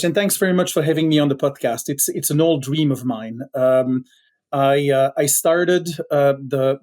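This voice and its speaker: A middle-aged Belgian man speaks as a podcast guest in a mellow, dark tone. He talks in a halting way, full of fillers and restarts, as he tells a story. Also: mid-range voice. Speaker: podcast guest, company founder.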